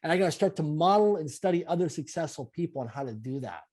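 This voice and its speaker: A man in his late forties from Canada speaks as an interview guest, his voice high for a man and warm, rounded in tone. He drives his points home with emphatic, repetitive motivational phrasing. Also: animated, fast. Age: 40s. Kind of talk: conversational interview.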